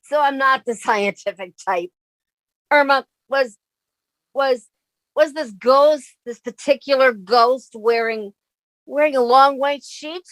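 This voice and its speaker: A woman's voice: high-pitched, slow, a bit bright, poor audio. A woman playing a sensible, exasperated young roommate in a radio comedy. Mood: agitated, confiding. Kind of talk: 1950s radio sitcom reading.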